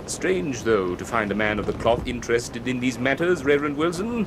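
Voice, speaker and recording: Actor as gruff, animated old-time sea captain. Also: male voice, mid-range voice, normal speed, lightly acted. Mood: grumbling, wary. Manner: barks nautical orders, grumbles in old seafaring idiom. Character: gruff, salty, weary captain of a convict ship. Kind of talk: period radio drama acting. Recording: noisy shipboard sound effects behind.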